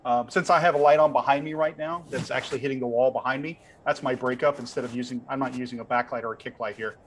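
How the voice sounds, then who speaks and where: A man with a mid-pitched voice talks through a technical explanation in an informal way. He hedges and keeps it conversational, like a webinar panelist at home, with noticeable noise behind him. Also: steady tone, quick pace. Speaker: panelist on lighting gear. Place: room at home.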